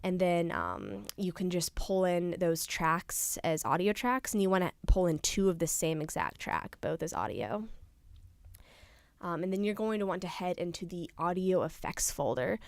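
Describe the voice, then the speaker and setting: A young adult woman presenting an informal software tutorial, explaining things casually step by step. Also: lowish voice, lively intonation, moderate pace, no echo. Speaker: tutorial presenter, studio intern.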